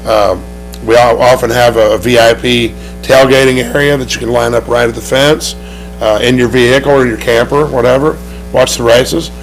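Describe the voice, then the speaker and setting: A man with a hoarse voice and an Oklahoma accent, a race track promoter talking in a TV studio interview, upbeat and rambling with many restarts. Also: medium pitch, fairly even intonation, moderate pace, faint music behind, rough recording.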